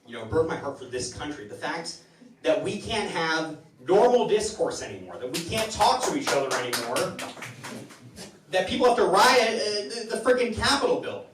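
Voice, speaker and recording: A man hosting a live comedy show, telling stories in a halting, rambling way with stammered restarts. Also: a little high, expressive, over music. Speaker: host of a comedy town hall show. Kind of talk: stand-up comedy monologue to a live audience. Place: indoor live comedy venue with audience. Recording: roomy, distant, noisy live venue recording.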